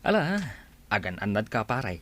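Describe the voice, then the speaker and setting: A man's voice: fairly low pitch, sing-song, moderate pace, a bit bright. A man with a Filipino Ilocano accent playing a concerned, curious friend and confidant in a radio drama. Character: male buddy, concerned, curious confidant giving cautions.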